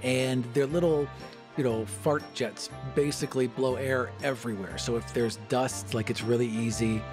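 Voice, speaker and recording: A man's voice: mid-range voice, fairly even intonation, moderate pace, over music. A man co-hosting a podcast, playfully bantering and telling an improvised story as a tabletop player.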